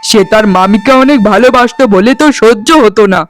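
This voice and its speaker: A man voicing a stern but soft-hearted village family man in a cartoon, with an emphatic, scolding manner. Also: high voice, fast, lightly acted. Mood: angry, scolding. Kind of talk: Bengali cartoon voice acting. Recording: music behind the voice.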